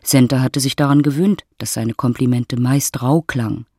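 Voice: medium pitch, steady tone, normal speed, dark tone, dry recording, studio quality. A narrator reading scripted literary prose for an audio drama. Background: music.

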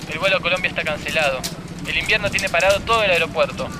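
A man's voice: a little high, rapid-fire, background noise, close to an everyday voice. An actor playing an ardent, impatient man in love in a radio drama. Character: ardent, impatient long-distance lover, a man.

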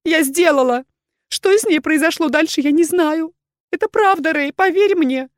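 A desperate wyvern woman from a fantasy audiobook, acted out by a female narrator, pleads in a high, tearful voice. She sobs and exclaims breathlessly, close and dry with no room sound. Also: sing-song, brisk, no echo. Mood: desperate protesting.

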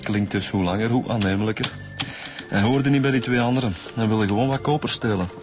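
A male actor plays a loyal Flemish detective in a radio police serial. His voice is lowish and plain-spoken, with music playing underneath. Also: a little fast, close to an everyday voice. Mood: matter-of-fact. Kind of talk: radio crime drama acting.

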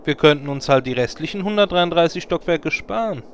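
An actor playing a sardonic, grumbling adventurer with a dry delivery in a parody fantasy audio play. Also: male voice, a little high, expressive, fairly quick, a little hiss or hum. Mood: dry sarcasm. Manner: dry, sarcastic.

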